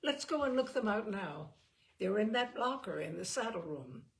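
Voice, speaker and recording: Woman reader as a brisk young horsewoman, creaky-voiced. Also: medium pitch, expressive. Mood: brisk, friendly. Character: brisk, opinionated young horsewoman, teasing and affectionate. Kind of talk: audiobook character dialogue.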